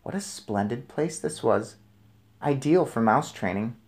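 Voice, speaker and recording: A man narrating a children's storybook aloud in an expressive read-aloud style. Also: medium pitch, measured pace.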